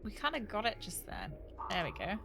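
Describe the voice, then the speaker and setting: A woman's voice: mid-range voice, sing-song, brisk, creaky, over music, low-quality recording. A woman giving casual live commentary as she plays a game, with jokey, reactive asides. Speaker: livestreamer playing a horror adventure game.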